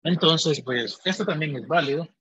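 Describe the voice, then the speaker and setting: A man teaching a logic lesson over a screen recording, speaking in a measured, deliberate way and pausing to correct himself as he explains. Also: a little high, bright, forceful tone, husky, lo-fi sound. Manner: measured, deliberate, thinks aloud with pauses and self-corrections. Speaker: math teacher. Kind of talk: explaining logic notation on a digital whiteboard.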